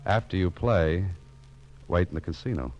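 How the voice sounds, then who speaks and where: An actor playing a world-weary, wry American private detective in a deadpan radio noir style. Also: male voice, deep voice, moderate pace, soft background music. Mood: wry suspense. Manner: dry, deadpan, wisecracking. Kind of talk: 1940s-50s radio noir narration and dialogue. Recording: muffled vintage radio recording.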